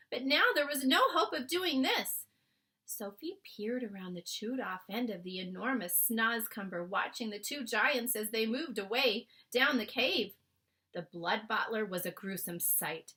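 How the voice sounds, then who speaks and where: A woman's voice: on the high side, pushed and bright. A woman reading a children's book aloud as a storyteller, with short asides to listeners. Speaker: storybook reader.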